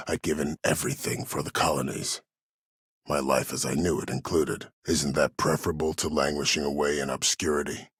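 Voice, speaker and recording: Game villain: disgraced old military schemer, extremely low husky voice, coldly self-justifying, formal and imperious. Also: male voice, a bit bright, no room sound, studio quality, full character voice. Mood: grim, self-justifying. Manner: measured, formal, imperious, calculating. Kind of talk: dramatic game dialogue.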